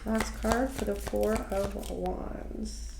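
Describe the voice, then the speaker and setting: Slow, nasal-sounding woman reading tarot. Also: medium pitch, steady tone, vocal fry, echoey room, very noisy. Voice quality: slightly nasal. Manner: slow, musing, trails off with ums and restarts. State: stuffy from allergies. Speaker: tarot reader. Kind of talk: casual solo tarot reading.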